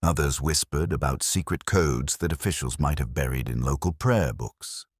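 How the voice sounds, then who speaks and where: A man reading a scripted mystery story as narrator, in a measured, formal, literary way. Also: a bass voice, breathy edge, no echo, clean studio sound.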